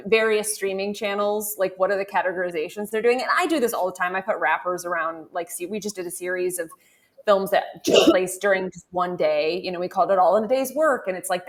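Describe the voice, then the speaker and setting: A woman hosts a relaxed panel conversation about film curation. She talks in long, looping sentences full of casual fillers, in a voice a little lower than usual. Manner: rambling, many "like" and "you know" fillers. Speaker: podcast host and film curator.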